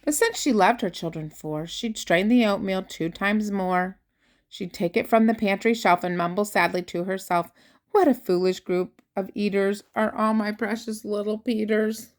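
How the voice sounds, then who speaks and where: An older woman, a grandmother, reads a rhyming children's picture book aloud with expressive, theatrical emphasis on key words. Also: medium pitch. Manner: theatrical emphasis, drawn-out key words, dropping to hushed asides.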